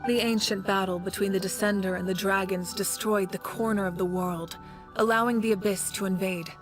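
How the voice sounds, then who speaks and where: A woman's voice: medium pitch, steady tone, brisk, soft background music, lightly acted. A woman playing a resolute, dutiful leader of a fantasy nation in a video game, firm and earnest. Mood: earnest, explanatory. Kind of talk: scripted video game voice acting.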